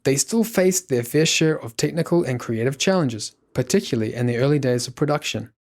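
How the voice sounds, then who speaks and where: A man's voice: mid-range voice, animated, brisk. A man reading scripted documentary narration. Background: faint guitar music.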